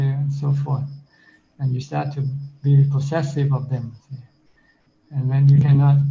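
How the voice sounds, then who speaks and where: Flat-voiced monk teaching over a video call. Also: male voice, medium pitch. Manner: plain, repetitive teaching with simple analogies. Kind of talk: informal Dhamma talk and Q&A. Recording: dull lo-fi video call audio.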